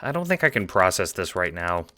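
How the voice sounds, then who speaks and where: Earnest young guy played by a man, lively intonation. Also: low voice, fairly quick, full character voice. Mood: gentle, reassuring. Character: John, earnest, steady young best friend consoling someone. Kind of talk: audio drama dialogue.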